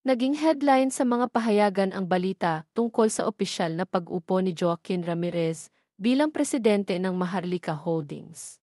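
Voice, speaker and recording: A woman's voice: medium pitch, moderate pace, no room sound. Filipino woman narrating, dry close sound. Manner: steady storytelling read, voicing all characters lightly. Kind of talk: scripted audiobook narration of a romance story.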